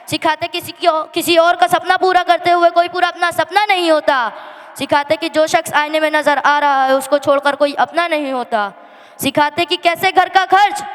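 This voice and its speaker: A high female voice recites a dramatic poetic monologue. The delivery is plaintive and emphatic, full of feeling, and rings through a hall with long reverb. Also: a bit bright. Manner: emotional, plaintive, emphatic delivery with drawn-out refrains. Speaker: monologue performer at a school annual day. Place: school annual day stage in a hall.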